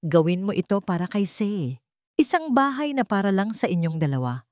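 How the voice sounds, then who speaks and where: A woman's voice: mid-range voice, sing-song, normal speed, a little mellow, no echo, clean studio sound, lightly acted. A Filipino woman voicing a remorseful, wealthy young father in a storybook drama, earnest and imploring. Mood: earnest, pleading.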